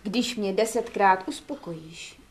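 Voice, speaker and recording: A woman's voice: mid-range voice, natural voice. A woman playing a seductive, demanding mistress in a comic stage play, coy and teasing as she gives orders. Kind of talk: live comic stage acting. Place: theatre stage. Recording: noisy live theatre recording.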